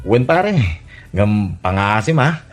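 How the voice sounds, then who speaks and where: An actor with an Ilocano Filipino accent playing an evasive, irritable, smooth-talking young man in a comedy radio drama. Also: on the low side, very animated, slow, soft background music, exaggerated voice. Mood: casual, fed up.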